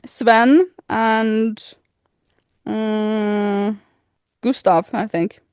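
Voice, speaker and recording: A woman's voice: mid-range voice, fairly even intonation, extremely slow, a little mellow, clean tone, no echo, clean studio sound. A woman co-hosting a podcast, chatting casually in English. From Norway. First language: Norwegian. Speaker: podcast co-host. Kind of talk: casual chat.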